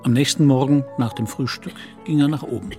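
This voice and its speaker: A man narrates a radio play from a literary script. His voice is mid-pitched and his storytelling measured. Faint music runs behind him. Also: warm tone.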